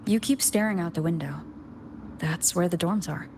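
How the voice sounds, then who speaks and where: A woman's voice: on the low side, normal speed, darkish tone, a little hiss or hum, lightly acted. A woman playing a cautious, reserved young heroine in a video game. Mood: guarded. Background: music.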